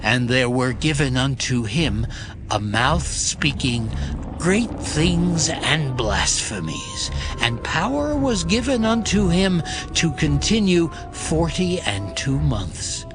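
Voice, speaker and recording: A man narrating a dramatized scripture reading in a slow, solemn, deliberate manner. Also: on the high side. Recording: music bed underneath.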